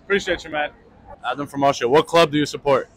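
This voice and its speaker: A young man running quick street interviews outdoors on a city sidewalk, brisk and upbeat, repeating each answer back. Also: fairly high pitch, a little hiss or hum. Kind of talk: quick street vox pop.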